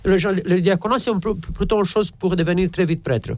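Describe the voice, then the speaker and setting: Halting, higher-voiced man. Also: fairly even intonation, a little mellow, no echo. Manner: halting, repeats words while searching. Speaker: Orthodox priest, interview guest. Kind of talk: conversational interview answers.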